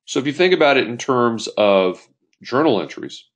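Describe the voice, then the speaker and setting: A man's voice: medium pitch, animated, moderate pace. A man giving an explanatory lecture as an accounting instructor, working step by step through an example and restating key points. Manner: step-by-step, rephrases key points, poses questions to himself.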